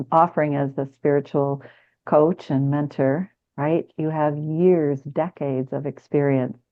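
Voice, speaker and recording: A woman's voice: low voice, narrow, tinny audio. A woman speaking conversationally about spiritual matters as a podcast guest, in an earnest, affirming manner. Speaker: spiritual guide working with clients.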